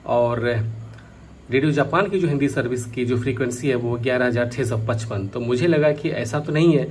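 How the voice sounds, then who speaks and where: A man's voice: medium pitch, fairly quick, darkish tone, slight background noise. A man casually narrating a hands-on product test as a hobbyist vlogger.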